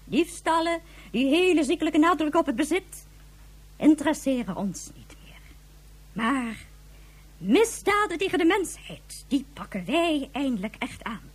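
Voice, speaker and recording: Stern, high-voiced woman in command. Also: very animated. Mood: indignant, lecturing. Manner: formal, measured, lecturing. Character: stern authoritative female chief of a future space society. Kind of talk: Dutch science fiction radio drama acting.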